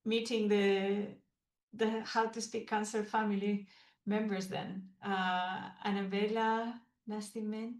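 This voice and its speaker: A woman's voice: mid-range voice, flat delivery, measured pace. Woman hosting a video call, roomy echo. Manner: hesitant, many fillers. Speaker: podcast host.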